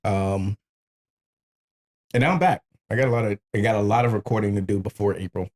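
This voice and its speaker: A man's voice: fairly low pitch, brisk, a little mellow. An American man, around his thirties, hosting a podcast with casual, comedic banter, wry, profane and emphatic. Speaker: podcast host.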